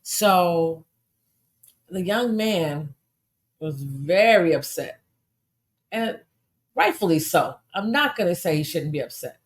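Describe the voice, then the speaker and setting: A hoarse woman hosting her own podcast, talking in an animated, indignant and emphatic way. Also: lowish voice, slow pace, pauses often. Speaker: podcast host. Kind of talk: casual monologue podcast.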